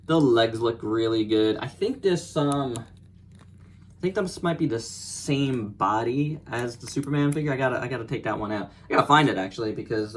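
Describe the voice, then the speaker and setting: A man hosting a casual, unscripted toy review, thinking aloud in short, halting phrases. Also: mid-range voice. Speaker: toy reviewer and channel host. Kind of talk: casual, unscripted hands-on product review commentary.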